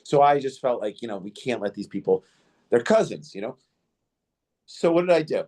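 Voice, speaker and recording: A man's voice: medium pitch, sing-song, normal speed, a little mellow. A man hosting a podcast interview, whose first language was Indo-Greek, telling stories in a rambling way with many fillers and restarts. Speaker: podcast host. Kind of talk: casual interview storytelling.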